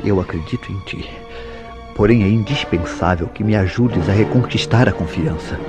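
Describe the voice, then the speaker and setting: Actor as a brooding, deep-voiced Brazilian soap husband. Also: male voice, moderate pace, music in the background, close to an everyday voice. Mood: urgent, pleading. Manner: solemn, dramatic, formal diction. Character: jealous, brooding young husband, intense yet devoted. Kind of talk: old-fashioned Brazilian radio soap acting.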